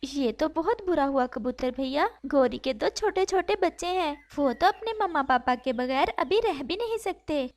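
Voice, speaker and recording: A woman voicing a kind, caring female sparrow in a cartoon story, with a gentle, earnest, motherly manner. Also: high-pitched, animated, moderate pace, hardly any pauses. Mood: worried. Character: kind, caring female sparrow who adopts orphaned chicks. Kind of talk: Hindi cartoon story acting.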